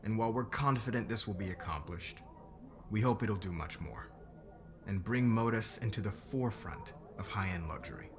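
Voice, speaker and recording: A man acting as a demanding, controlling boss in an audio roleplay, speaking with measured, commanding formality. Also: fairly low pitch, music in the background, lightly acted. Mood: polished, confident.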